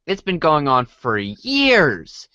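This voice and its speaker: A performer playing a gentle, forgiving young friend with a mild, hesitant, giggly manner in an amateur comedic readers' theater. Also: medium pitch, wildly swooping pitch, slow pace, dry recording, muffled recording, full character voice. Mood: gentle, amused.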